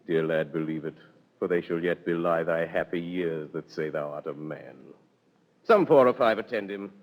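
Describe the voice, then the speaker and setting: An actor playing a lovesick, commanding duke in grand, declamatory 1930s radio Shakespeare style. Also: male voice, sits low, normal speed. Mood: ardent. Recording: muffled 1930s radio, long reverb.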